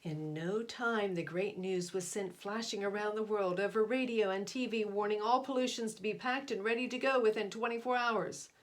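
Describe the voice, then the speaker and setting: Expressive woman reading a children's storybook. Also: medium pitch, moderate pace, hardly any pauses. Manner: dramatic, emphatic. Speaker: storybook reader. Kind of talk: expressive children's read-aloud narration.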